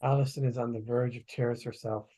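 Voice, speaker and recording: A woman's voice: rumbling low, fairly even intonation, a little fast, mellow. A woman giving scripted narration, reading stage directions at a table read.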